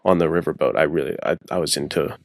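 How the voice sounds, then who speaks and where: A man speaking as a guest author in a casual podcast chat, informal and halting, often correcting himself as he goes. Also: rumbling low, fairly even intonation, dry recording, studio quality. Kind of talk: casual podcast discussion of fiction writing.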